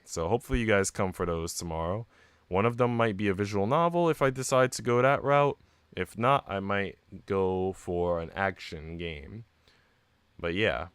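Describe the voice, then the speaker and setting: A man's voice: deep voice, normal speed, clear, resonant tone, dry recording. A man chatting casually and ramblingly to viewers as a game streamer. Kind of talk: casual livestream chat. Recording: music playing behind the voice. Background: background music.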